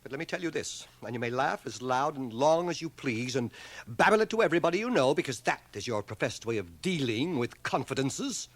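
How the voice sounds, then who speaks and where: A man's voice: medium pitch, lively intonation, a little fast, no echo, natural voice. A man playing a proud, indignant fifty-year-old bachelor in a radio drama, with a stiff, wounded manner. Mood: weary, dignified. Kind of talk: 1980 radio drama acting. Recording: noisy home cassette of 1980 radio drama.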